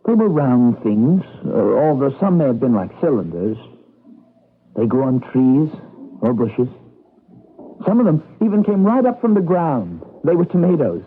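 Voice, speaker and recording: A man's voice: medium pitch, big pitch swings, a little slow, breathy edge. An actor playing a wry, guarded male citizen of a regimented future in a radio drama, speaking in a casual, hesitant way. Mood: guarded, explaining. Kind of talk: 1980s radio drama acting. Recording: thin phone-like old radio recording.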